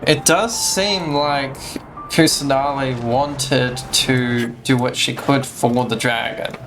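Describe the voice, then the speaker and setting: A man's voice: mid-range voice, takes it slowly. Male gamer, mid-range voice, casual musing commentary, game music underneath. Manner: offhand, musing reactions to the game. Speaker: gaming streamer.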